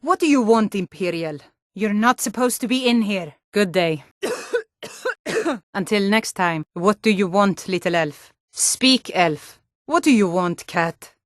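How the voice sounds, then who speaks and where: A woman's voice: medium pitch, very animated, slow, no echo, studio quality. An actress playing a stern, suspicious, hot-tempered Nord townswoman in a fantasy video game, speaking in a blunt, challenging way. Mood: curt, disdainful. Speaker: game character voice.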